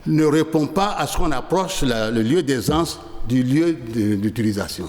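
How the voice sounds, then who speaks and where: A man's voice: medium pitch, expressive, moderate pace, a little mellow, roomy sound, big-room sound. A man being interviewed in a studio, arguing insistently and lecturing, with frequent restarts. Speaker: studio guest, sanitation advocate.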